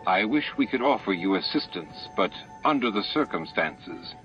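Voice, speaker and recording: A man voicing a steady, dutiful starship captain in an audiobook, frank and measured. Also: on the low side, natural voice. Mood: dry, firm. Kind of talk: audiobook character dialogue. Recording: muffled lo-fi audiobook with music.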